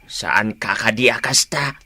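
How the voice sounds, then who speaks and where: A man's voice: mid-range voice, takes it slowly, pushed and bright, slight background noise. A man playing a nosy, insistent father-in-law in a radio drama, with an Ilocano Filipino accent. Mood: coaxing. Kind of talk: Philippine radio drama acting.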